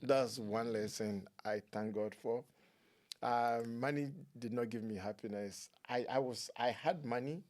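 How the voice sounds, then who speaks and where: A man, telling his life story as a guest in a relaxed, laughing way. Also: medium pitch, measured pace. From Ghana. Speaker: guest, clinician. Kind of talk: storytelling interview talk.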